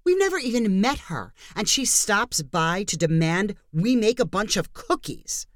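A woman's voice: medium pitch, very animated, unhurried, no echo, clean studio sound, pitched above the performer's own voice. A woman voicing an irritated, guarded homeowner who is new in town and speaks curtly. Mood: irked. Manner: curt, annoyed.

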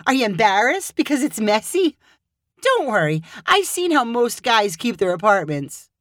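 A high-voiced young woman, outspoken, caring and a little bossy, as a woman reader puts her on. Her voice swoops with emphasis and stays bright. The room is dry and close. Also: sing-song, normal speed, no echo. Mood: cheerful.

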